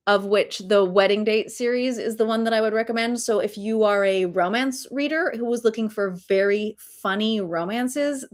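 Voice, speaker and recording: A middle-aged woman author chatting casually about her favorite funny books, with lots of ums and self-mocking asides. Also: on the high side. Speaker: author talking to her readers. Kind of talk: casual book recommendation talk.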